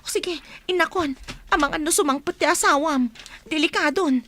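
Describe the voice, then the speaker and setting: A woman's voice: sits high, normal speed, a bit bright, a little hiss or hum. A woman playing an earnest, secretive household helper in a radio drama, urgent and conspiratorial. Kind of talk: Ilocano radio drama acting.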